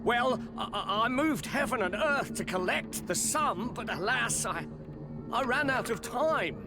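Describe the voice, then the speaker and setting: An actor playing a frightened, deferential merchant in a video game, hesitant and earnest. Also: male voice, very high-pitched, lively intonation, unhurried, over music, heavily acted. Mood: nervous, stammering. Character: frightened, deferential male shopkeeper, earnest and grateful.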